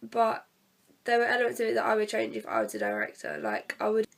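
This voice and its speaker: A teenage girl talks casually to her camera, her voice croaky and gravelly from a cold. She is fairly high-pitched and chatty, hesitating often. She sits some way back from the microphone, so she sounds a little distant. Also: sing-song, fast talker. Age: teens. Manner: chatty, hesitant, many fillers. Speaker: vlogger reviewing a theatre play. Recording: distant from the mic.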